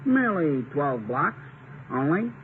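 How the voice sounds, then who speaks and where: A halting, high-voiced radio cabbie. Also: male voice, very animated. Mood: helpful, halting. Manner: halting, tacks qualifiers onto sentences. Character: plain-spoken, hesitant cab driver, muddled qualifiers. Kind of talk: 1950s radio comedy acting. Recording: tinny, noisy 1950 radio recording.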